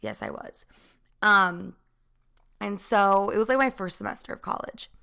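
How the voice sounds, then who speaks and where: A young woman telling a personal story in a casual, chatty way, full of fillers and quick asides. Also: mid-range voice, vocal fry, sharp tone, clear, resonant tone. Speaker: vlogger.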